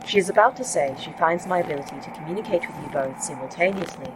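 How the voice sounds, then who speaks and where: An actress playing a calm, precise facility A.I. in a sci-fi audio drama, speaking formally and deadpan with a dry, teasing edge. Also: female voice, deep voice, quick pace, noisy background, lightly acted. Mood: matter-of-fact. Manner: formal, deadpan, few contractions.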